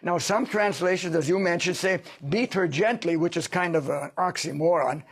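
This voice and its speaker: Guy with a high gravelly voice, lecturing hard in an interview. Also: moderate pace. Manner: lecturing, emphatic. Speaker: interview guest, sociologist. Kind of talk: studio interview.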